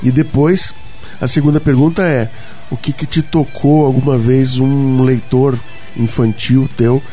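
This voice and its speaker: A man's voice: mid-range voice, steady tone, a little slow, mellow, faint music behind. A man hosting a radio talk show, asking a guest questions with chatty, enthusiastic interjections. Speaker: radio host. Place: radio studio.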